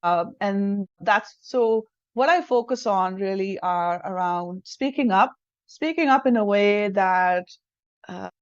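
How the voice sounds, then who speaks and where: A woman's voice: medium pitch, slow pace, smooth, dry recording. Earnest woman. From India. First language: Hindi. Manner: reflective, earnest, rephrasing as she thinks. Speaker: self-leadership coach, guest. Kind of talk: conversational interview. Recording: dull, lo-fi dry sound.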